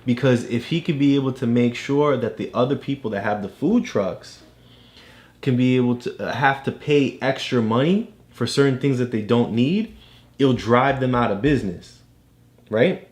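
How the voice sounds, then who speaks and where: A man tutoring a child, casually explaining a storybook in simple, halting steps. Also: mid-range voice, darkish tone.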